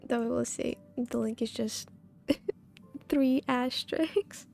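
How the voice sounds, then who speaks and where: A woman's voice: fairly high pitch, slow, vocal fry, darkish tone, soft background music. A woman chatting casually as she live streams a game, giggly and meandering.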